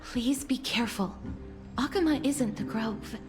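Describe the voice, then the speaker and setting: A gentle young noblewoman from a fantasy game , acted by a woman, speaks in a high, soft voice. She is solemn and formally polite. Music plays clearly behind her. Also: unhurried, lightly acted. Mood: polite, calm. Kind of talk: video game voice acting.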